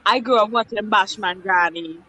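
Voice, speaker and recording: A woman's voice: mid-range voice, moderate pace, sharp tone, a little hiss or hum, rough recording. A woman, a podcast guest, chatting casually with blunt remarks and laughing asides. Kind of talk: casual chat.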